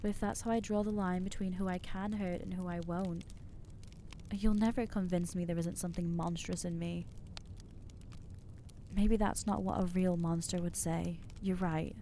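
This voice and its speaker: A woman's voice: on the low side, fairly even intonation, moderate pace, mellow, slight background noise, natural voice. A woman voicing an anxious, self-loathing young female vampire in an ASMR audio roleplay, talking hesitantly and putting herself down. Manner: hesitant, self-deprecating, trailing off.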